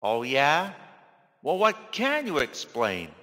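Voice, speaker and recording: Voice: medium pitch, huge pitch swings, slow, in a large hall, noisy background, pitched above the performer's own voice. A man voicing a stern, unamused announcer who guards a magic world in a children's story. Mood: challenging.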